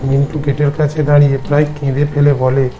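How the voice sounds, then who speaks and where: Guy narrating a spooky cartoon story, flat even tone, bright voice, light music under. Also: medium pitch, fast talker, lo-fi sound, slight background noise. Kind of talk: scripted horror story narration.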